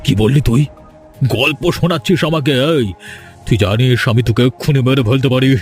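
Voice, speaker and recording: A man's voice: medium pitch, moderate pace, faint music behind, natural voice. A man voicing a proud, domineering village landlord and father in a cartoon, blustering and repeating himself. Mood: angry.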